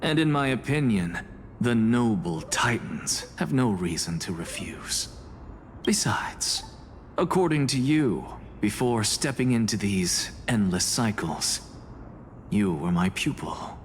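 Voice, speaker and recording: A man's voice: fairly low pitch, expressive, takes it slowly, slight background noise. An actor playing a harsh, haughty male scholar with a scornful, imperious manner, in an anime-style video game. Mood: resolute. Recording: long hall reverb, music behind. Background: game music.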